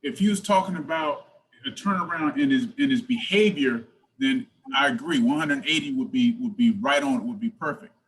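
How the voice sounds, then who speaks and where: A man's voice: medium pitch, moderate pace, fairly bright tone. A man giving script notes informally on a video call, polite and hesitant. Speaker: table read participant giving script notes. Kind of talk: informal discussion. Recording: noisy video call background.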